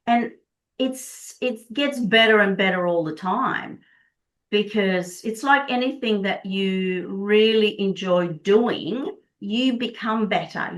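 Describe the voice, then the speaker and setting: Middle-aged female practitioner, Czech native speaker, medium pitch, enthusiastic and explanatory in conversation. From Prague, Czech Republic. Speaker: Body Code practitioner, interview guest.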